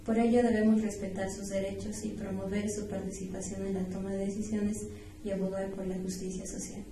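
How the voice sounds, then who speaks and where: A woman's voice: mid-range voice, level tone, a little fast. A young woman with a southern Peruvian accent giving prepared, formal answers as an interview guest. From San Román province, Puno, Peru. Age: young adult. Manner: formal, recited. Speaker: guest, university student. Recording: echoey room, distant mic, faint noise.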